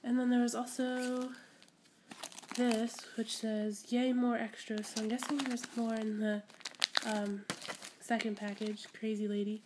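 A woman's voice: a little high, flat delivery, a little slow, background noise. Giggly young woman with a stuffy cold. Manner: rambling, giggly, gushing over small items. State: congested with a cold. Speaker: squishy collector vlogger. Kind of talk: casual unboxing chat. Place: indoors at home, camera pointed at a table.

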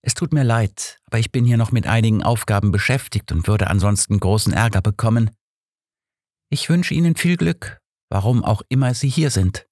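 A man's voice: lowish voice, expressive, normal speed, a little mellow, no echo, studio quality, pitched above the performer's own voice. A man voicing a chatty, conspiratorial young mother in an audiobook. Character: gossipy, secretive working woman, young mother.

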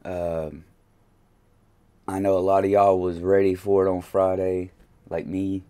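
A man's voice: deep voice, little pitch movement, measured pace, dark tone, clear, resonant tone. A man in his late thirties, hosting a music reaction in a small room at his webcam, rambling with lots of fillers. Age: late 30s. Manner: hesitant, rambling, many fillers. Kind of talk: casual reaction commentary.

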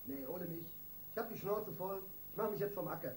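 An actor playing a fed-up, defiant crook who runs a bar, blunt and slangy, in a radio crime drama. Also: male voice, high voice, fairly quick, close to an everyday voice. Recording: roomy, distant, noisy radio drama.

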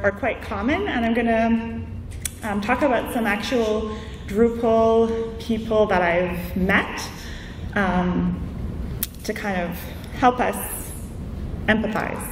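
A woman with a mid-pitched voice gives an informal, conversational conference talk, explaining things through examples. She sounds a bit distant from the mic in a reverberant hall, with faint noise behind her. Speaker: conference keynote speaker. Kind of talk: informal slide presentation to an audience. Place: large indoor conference room.

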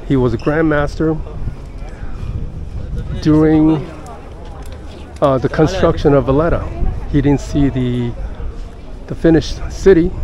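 Slow-paced older man, mellow voice. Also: on the high side. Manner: halting, pausing mid-sentence. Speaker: travel vlogger. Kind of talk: casual walking-tour narration. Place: outdoors in busy city streets and squares. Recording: outdoor city street noise.

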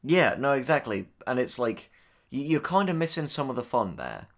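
A man in casual discussion as a podcast co-host. His voice sits at a middling pitch, and he works through his arguments aloud in a conversational manner. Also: sing-song, moderate pace. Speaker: podcast co-host and audio drama writer.

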